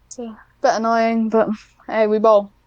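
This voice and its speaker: A woman's voice: fairly high pitch, completely flat delivery, a little slow, darkish tone, clear, resonant tone, highs cut off. A woman chatting casually as a podcast guest, hesitant and self-deprecating, with a giggly manner. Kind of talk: casual interview chat.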